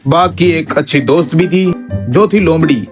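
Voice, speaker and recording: A man's voice: fairly high pitch, normal speed, music in the background. A man narrating an animal story for children as its storyteller.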